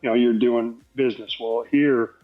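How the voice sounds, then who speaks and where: A man's voice: medium pitch, steady tone, normal speed. Man telling anecdotes, dull lo-fi sound. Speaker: podcast guest. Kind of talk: casual anecdotal storytelling. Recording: dull lo-fi sound, faint music.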